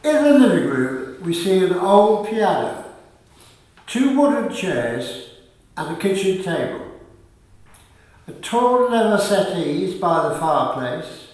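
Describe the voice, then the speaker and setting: A man's voice: sits high, sing-song, slow, a little mellow. A man reading scripted stage directions as the narrator of a radio play. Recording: very echoey room, slightly distant mic.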